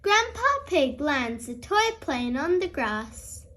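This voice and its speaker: Female children's read-aloud host: very high voice, highly melodic, expressive storybook delivery. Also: takes it slowly.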